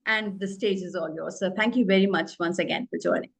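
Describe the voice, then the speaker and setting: A woman's voice: on the low side, gravelly. Woman host reading a formal introduction. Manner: formal, halting, with restarts. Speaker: host, moderator.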